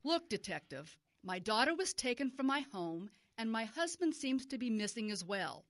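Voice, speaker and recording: A woman's voice: a little high, very animated, normal speed, a bit bright, no echo. A woman playing an anxious, indignant mother of a missing child in an audio drama, distressed and demanding. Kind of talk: modern audio drama acting.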